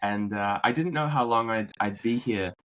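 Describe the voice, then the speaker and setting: New Zealand man with a low voice. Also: moderate pace. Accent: New Zealand, mixed with American. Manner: relaxed storyteller, many fillers and restarts. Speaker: podcast guest, marketing executive. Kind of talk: conversational interview.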